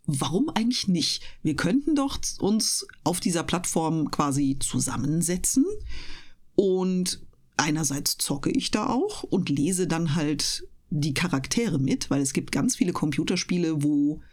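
A woman's voice: sits low, expressive, measured pace, darkish tone. A woman chatting casually as an interview guest, explaining things at length in a talkative, storytelling way. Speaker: interview guest, voice performer and live reader.

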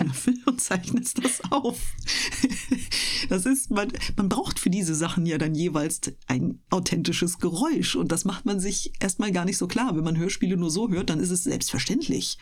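A woman's voice: fairly low pitch, expressive, moderate pace, a little mellow. A woman chatting casually and explaining things as a guest in a radio interview.

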